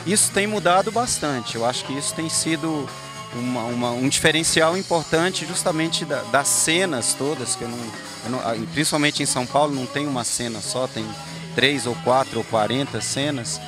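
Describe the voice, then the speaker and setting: A man's voice: medium pitch, normal speed. A man from Brasília, a bandleader, answering interview questions in a reflective way, often thinking aloud. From Brasília, Brazil. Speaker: musician, bandleader. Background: band rehearsal music.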